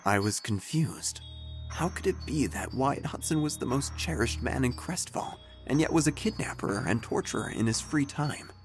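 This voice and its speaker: Lively, theatrical male storyteller. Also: medium pitch, faint music behind. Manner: measured, theatrical, dryly whimsical. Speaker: narrator. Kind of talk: scripted storytelling narration, deadpan comic audio drama.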